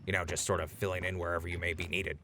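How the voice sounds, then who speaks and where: A man's voice: low voice, little pitch movement, very fast, sharp tone, slight background noise. A man playing a sarcastic, complaining, footsore wasteland traveler in a post-apocalyptic audio drama. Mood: wry, casual. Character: grumbling, wisecracking footsore male wasteland traveler.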